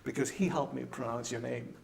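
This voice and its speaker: Male interviewer, mid-pitched, asks friendly, plain questions. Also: steady tone, brisk, gravelly, background noise.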